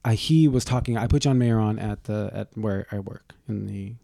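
A man co-hosting a podcast with a gravelly, dark voice. He rambles through sarcastic, profane asides in loose, snarky banter. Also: lowish voice, normal speed, no echo, studio quality. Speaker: podcast co-host.

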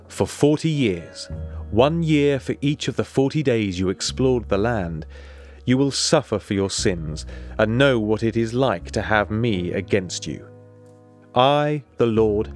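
A man giving a scripted, solemn and measured reading from the Bible. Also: lowish voice. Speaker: scripture reader.

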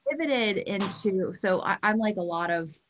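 A woman in her thirties with an American accent, speaking in an animated, informal way as a guest in a casual interview. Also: mid-range voice, moderate pace, lo-fi sound. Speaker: podcast guest, sales coach.

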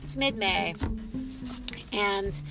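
A woman's voice: mid-range voice, drawn-out words, vocal fry, music in the background. A woman talking casually and enthusiastically as a cookbook author, rambling with frequent ums and asides. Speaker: cookbook author and home cook. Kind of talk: casual chatty food vlog.

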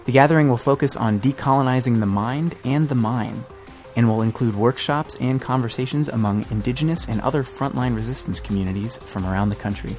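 A man's voice: mid-range voice, a little fast, darkish tone, faint music behind. A man hosting a podcast, reading scripted news narration. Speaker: podcast host.

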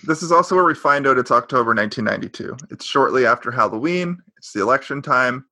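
A man's voice: mid-range voice, lively intonation, quick pace, sharp tone, no room sound. A man co-hosting a podcast, chatting casually about an old sitcom, rambling and correcting himself, with a sarcastic edge. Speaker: podcast co-host. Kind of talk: casual chat recapping a sitcom.

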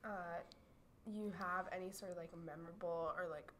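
A woman's voice: on the low side, steady tone, moderate pace, a bit bright, slight background noise. A woman asking interview questions in a loose, casual way, with "like" and "uh" fillers.

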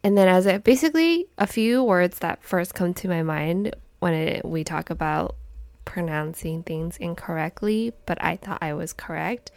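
A young woman co-hosting a casual chat podcast, whose first language is Chinese, telling stories in a chatty, animated way. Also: fairly low pitch, moderate pace, gravelly, no room sound. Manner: chatty, animated storytelling with laughs and restarts. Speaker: podcast co-host.

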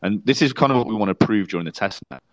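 A man with a low voice co-hosts a casual podcast. He explains at length, stopping and restarting often. The sound is dry and close. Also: animated, fast talker, no room sound, poor audio. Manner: explains plans at length, many fillers and restarts. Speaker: podcast co-host.

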